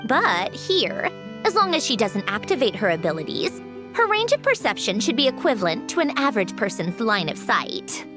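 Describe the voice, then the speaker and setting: Brief: aloof, proud young game heroine, highish lively voice, clipped delivery, music underneath. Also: female voice, moderate pace. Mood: brisk, guarded. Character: proud, guarded young woman, brusque and secretly nostalgic. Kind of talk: English video game voice acting.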